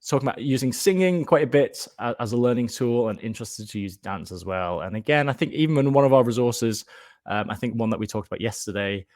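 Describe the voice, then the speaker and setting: A man's voice: mid-range voice, quick pace, a little mellow. A man hosting an informal online presentation of an educational resource, speaking in an enthusiastic but hesitant, discursive way.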